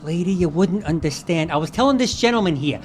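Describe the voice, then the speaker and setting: A woman's voice: fairly low pitch, expressive, fairly quick, a little mellow, close to an everyday voice. A woman playing a gossipy, earnest young newsboy with slangy street talk in a live old-time radio drama. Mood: confiding, indignant. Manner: streetwise slangy, confiding, rambling. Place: live performance in a hall. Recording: live stage recording with background noise.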